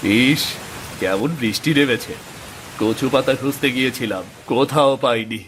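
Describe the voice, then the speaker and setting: Slow-drawling lazy grumbling farmer man, acted out by a man. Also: mid-range voice, a bit bright, slight background noise, lightly acted. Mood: nonchalant. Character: lazy grumbling male farmer who trusts in luck. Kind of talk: animated moral-story cartoon voice acting.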